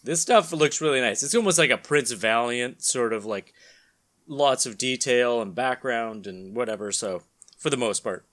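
A man's voice: mid-range voice, normal speed, fairly bright tone. A man co-hosting a podcast, chatting casually and turning theatrical when he reads comic-book narration aloud. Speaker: podcast co-host.

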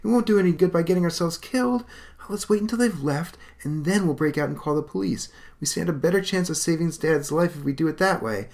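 Dude putting on a nervous goody young hero, high whiny voice, always arguing for calm. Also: male voice, fast talker, gravelly. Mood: pleading. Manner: pleading, reasoning, whiny. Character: cautious, peace-loving young man.

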